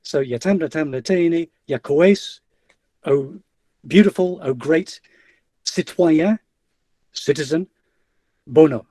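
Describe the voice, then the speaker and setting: Middle-aged male academic, mellow tone, hesitant delivery, dry webinar sound. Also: a little high, slow, long pauses, no echo. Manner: hesitant, many fillers and restarts. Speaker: guest lecturer. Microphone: video call.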